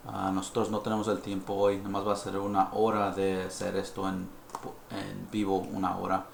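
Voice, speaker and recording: A low, gravelly-voiced man from California speaks Spanish as a second tongue, halting and apologetic, dropping in English fillers. His tone stays flat and even. The sound carries the echo of a lab room with a faint hum behind it. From United States (California). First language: English (heritage Spanish speaker). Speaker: dental technician presenter. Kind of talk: live-stream dental lecture. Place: dental lab.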